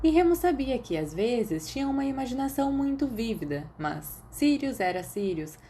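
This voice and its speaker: A woman with a Brazilian accent reading fiction aloud as an expressive scripted narrator. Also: a little high, moderate pace, slight background noise. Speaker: audiobook-style narrator of fan fiction.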